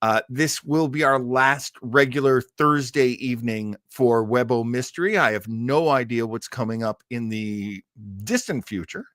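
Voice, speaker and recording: A man's voice: medium pitch, unhurried, a bit bright, no room sound, clean studio sound. A middle-aged man hosting an old-time radio livestream in a casual, chatty, rambling way, effusive and self-deprecating.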